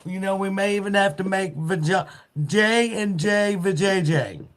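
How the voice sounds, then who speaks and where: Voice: mid-range voice, unhurried. A podcast co-host joking around and plugging the show in casual chat.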